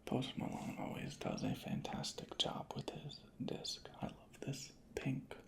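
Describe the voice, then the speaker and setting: A hushed, breathy ASMR voice, soft-spoken and whispering in a deliberate, unhurried roleplay as an inspector. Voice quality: breathy whisper with creaky fry. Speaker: ASMR creator playing a record inspector.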